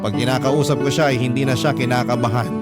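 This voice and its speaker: Male storyteller over loud guitar music. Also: mid-range voice, fairly even intonation, fast. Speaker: radio drama narrator reading a letter sender's story. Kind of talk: scripted sentimental storytelling narration. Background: prominent plucked guitar music.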